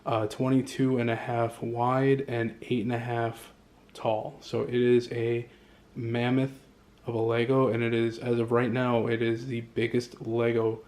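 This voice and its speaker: A man talks through a casual home vlog from his condo, drifting from point to point and stopping often to hunt for the next word. His pitch stays level with little rise or fall. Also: completely flat delivery, a little mellow. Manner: rambling, pausing often to find words. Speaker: vlogger. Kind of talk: casual show-and-tell vlog.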